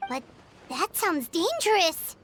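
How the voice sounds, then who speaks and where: A woman voicing a tiny, chirpy, excitable fairy companion girl with a squeaky voice in a video game. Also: slow, a little hiss or hum, heavily acted. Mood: curious, chirpy.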